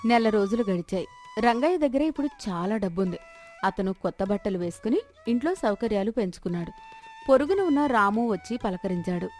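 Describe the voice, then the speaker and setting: A woman's voice: medium pitch, expressive, fairly quick, darkish tone, soft background music. A woman reading scripted narration for a moral story as its storyteller.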